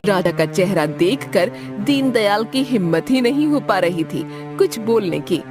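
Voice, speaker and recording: Woman narrator, scripted story reading, animated intonation, background music. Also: medium pitch, unhurried.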